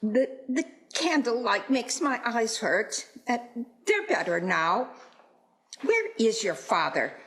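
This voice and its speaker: A woman playing a careworn, subdued Victorian mother in a radio play, gently firm. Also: on the high side, very animated, slow pace, heavily acted. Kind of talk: stage radio play acting.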